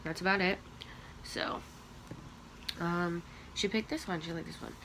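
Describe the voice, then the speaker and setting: Young woman, sniffly, unhurried makeup-tutorial chat, noisy home recording. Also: lowish voice, fairly even intonation. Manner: slow, chatty, talking while applying makeup. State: sniffly from allergies. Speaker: beauty vlogger.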